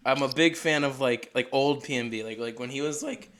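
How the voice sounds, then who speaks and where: A nineteen-year-old man from Delaware interviewing a rapper in a rambling, excitable way, full of "like". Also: medium pitch, fairly quick. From Delaware, USA. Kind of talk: casual interview chat.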